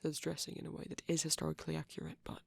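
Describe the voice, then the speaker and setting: A man playing a shy, gentle vampire boyfriend in intimate ASMR roleplay, speaking softly and hesitantly, often close to a whisper. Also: a little high, completely flat delivery, rapid-fire, no room sound, exaggerated voice. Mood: softly enthusiastic, hesitant. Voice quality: soft, breathy, husky, often near a whisper. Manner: hesitant, bashful, rambling tenderly.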